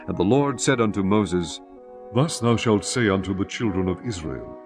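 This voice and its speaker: A man with a fairly low voice narrates scripture solemnly, in measured phrases. Music plays clearly behind his dramatic, scripted reading. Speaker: audio Bible narrator.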